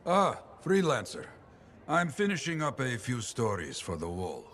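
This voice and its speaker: Wistful game storyteller, slow and really lively pitch, courteous, reflective, some noise behind. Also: male voice, full character voice. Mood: genial, wry. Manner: expressive, reflective, measured. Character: wistful male storyteller, courteous, gently philosophical, grieving. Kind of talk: video game dialogue acting. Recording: clear ambient noise behind the voice.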